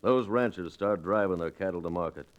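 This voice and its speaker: An actor playing a scheming, self-satisfied American outlaw gang boss in an old-time radio western. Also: male voice, deep voice, clean tone, close to an everyday voice. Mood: gloating. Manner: chuckling, drawn-out, self-satisfied. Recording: dull vintage radio recording.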